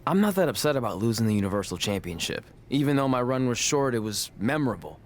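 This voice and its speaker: An actor playing a cocky but earnest young pro wrestler in a video game cutscene, wry and boastful. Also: male voice, medium pitch, sing-song, fast, a little hiss or hum, natural voice. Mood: subdued, earnest.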